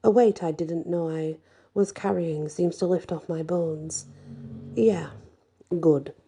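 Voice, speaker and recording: A woman's voice: lowish voice, fairly even intonation, unhurried, dark tone, breathy edge. A woman reading a scripted fantasy story as an ASMR narration.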